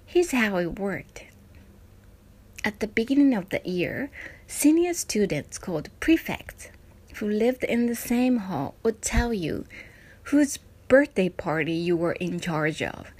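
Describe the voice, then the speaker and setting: A woman's voice: mid-range voice, animated, slow, a little mellow, husky, rough recording. Woman. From Japan. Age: 40s. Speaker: presenter of a personal parenting essay. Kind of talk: scripted personal narration.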